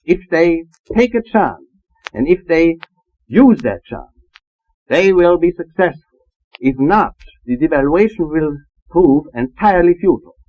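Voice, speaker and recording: A man's voice: on the high side, big pitch swings, measured pace. Male panel expert, formal and emphatic, swinging intonation, muffled vintage disc sound. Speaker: foreign trade expert on a discussion panel. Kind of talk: panel interview answers. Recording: muffled old 78 rpm acetate disc.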